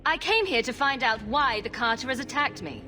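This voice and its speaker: An actress playing a composed, resolute adventurer heroine in a video game, measured and determined. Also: female voice, on the high side, lively intonation, bright, forceful tone, soft background music. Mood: questioning, firm. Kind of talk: dramatic video game acting.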